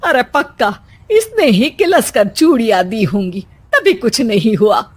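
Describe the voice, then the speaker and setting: A woman voicing an older, sharp-tongued Indian mother-in-law in a cartoon story, scolding yet doting on her daughter. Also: a little high, very animated, a little slow, dry recording. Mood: grumbling.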